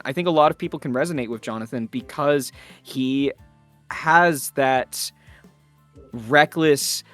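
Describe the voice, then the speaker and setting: A man's voice: fairly high pitch, a bit bright, no room sound. A man giving thoughtful, earnest interview answers in a conversational way, stressing his key words. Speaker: voice actor being interviewed.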